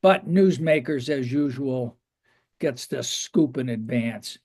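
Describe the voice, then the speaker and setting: A man's voice: mid-range voice, slow, darkish tone. A man hosting an interview show, talking conversationally with a wry, jokey manner.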